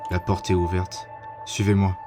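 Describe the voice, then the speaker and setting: Man acting careful group leader, low voice, measured, polite, music in the background. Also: flat delivery, lightly acted. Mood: composed. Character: calm, cautious, courteous man leading the group, named Edgar. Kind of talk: audio drama acting.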